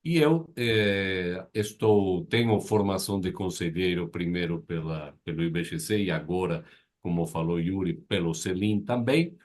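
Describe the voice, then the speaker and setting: A man in his fifties who speaks with an Argentine Spanish accent, his voice sitting very low. He introduces himself on a live video panel, upbeat and polite, with small slips in his second language. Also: darkish tone. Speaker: board advisor and business mentor. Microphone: video call.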